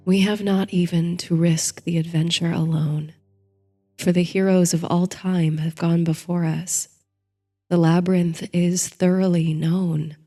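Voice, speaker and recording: A woman narrating a guided bedtime meditation in a soothing, gentle, hushed manner, reading from a script. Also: fairly low pitch, flat delivery, unhurried. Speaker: host and narrator of a sleep story series. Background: soft music.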